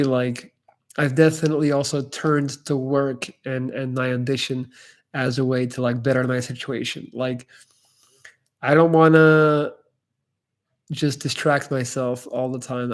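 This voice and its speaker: A man from Belgium, speaking English, answers viewer questions on a live stream. His talk is loose and candid, with frequent "like"s and false starts. His pitch sits in the middle range for a man. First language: Dutch. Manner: candid and loose, full of "like" and restarts. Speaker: YouTuber hosting a live Q&A. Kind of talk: casual livestream Q&A chat.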